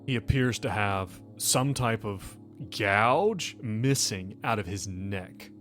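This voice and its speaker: Male game master, low register, off-the-cuff tabletop narration over faint music. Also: lively intonation, takes it slowly, a bit bright.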